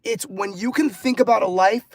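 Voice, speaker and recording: A man's voice: sits high, normal speed, a little mellow, no echo. A man from Los Angeles hosting a motivational live stream, upbeat and effusive, laughing often and repeating words as he reads out chat comments. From Los Angeles, California. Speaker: livestream host and motivational coach.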